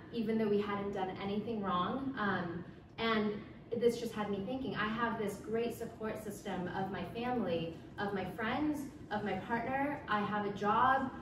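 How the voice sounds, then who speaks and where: Young woman, earnest, a bit nervous, public talk, distant mic, clear room noise. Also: medium pitch. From Los Angeles, California. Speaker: guest speaker. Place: event hall. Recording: echoey hall, from far off, background noise.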